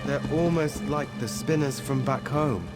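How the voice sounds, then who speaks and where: An actor playing a soft-hearted young male soldier in a fantasy game, hesitant and deferential. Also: medium pitch, unhurried, lightly acted. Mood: pleading, submissive. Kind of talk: fantasy video game dialogue. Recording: loud game music behind the voice.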